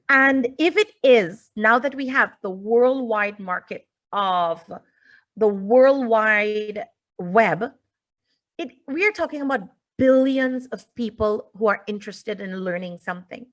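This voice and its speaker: Higher-pitched woman presenting a webinar. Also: a bit bright. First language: English. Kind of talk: informal online lecture, addressing audience. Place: indoors, online video call.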